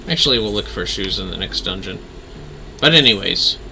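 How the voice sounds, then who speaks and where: A man's voice: low voice, lively intonation, a little fast, gravelly, bright, forceful tone, slight background noise, poor audio. A man casually chatting as he streams a fantasy online game, musing playfully to himself. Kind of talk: casual gameplay livestream chat.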